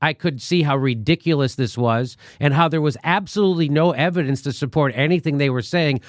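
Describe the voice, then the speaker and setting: A man's voice: fairly high pitch, fairly quick, no echo, studio quality. A middle-aged man from the United States hosting an interview, talking bluntly and animatedly with some swearing. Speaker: host. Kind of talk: conversational interview.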